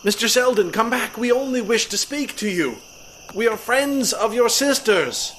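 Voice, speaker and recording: A man playing an earnest, uneasy young gentleman heir in an audio drama, with a dramatic delivery. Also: very high-pitched, expressive, normal speed, a little hiss or hum. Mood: urgent, calling out. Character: Sir Henry Baskerville, earnest, uneasy young heir from Canada.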